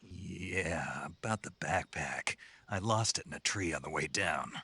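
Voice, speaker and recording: A man voicing a laconic special forces soldier with a husky voice and a dry, sardonic wit in a game. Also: deep voice, animated, a little slow, bright, forceful tone, no echo, heavily acted. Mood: wry. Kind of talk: game dialogue.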